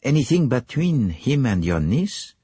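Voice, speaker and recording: Narrator playing a polite sleuth, formal and probing, husky, lively delivery. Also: male voice, mid-range voice, measured pace, no room sound, pitched above the performer's own voice, heavily acted. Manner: formal, businesslike, probing questions. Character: courteous, precise foreign male detective, middle-aged.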